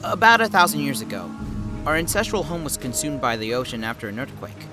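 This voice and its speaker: Man acting an earnest, animated young ex-cop. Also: medium pitch, fairly quick, close to an everyday voice. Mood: amused, surprised. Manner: hesitant, earnest, expressive. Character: young male former police officer, weary, puzzled, earnest. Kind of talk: audio drama acting. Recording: background music under the voice.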